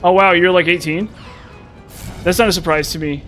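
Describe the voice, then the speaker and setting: Male streamer, 30s, a touch high-pitched, rambling live chat with viewers, soft game music behind. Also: steady tone, moderate pace. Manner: chatty, rambling, talks straight to viewers. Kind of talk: casual live-stream chat while playing.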